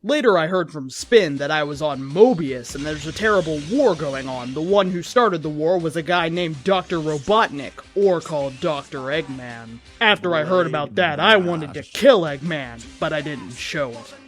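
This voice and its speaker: A man's voice: fairly high pitch, animated, moderate pace, few pauses, a bit bright. A man reading a fan fiction story aloud as host, in a dramatic narrating style. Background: background music.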